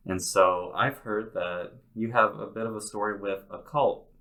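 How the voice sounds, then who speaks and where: Guy interviewer, lowish voice, casual and conversational. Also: fairly even intonation, moderate pace, sharp tone.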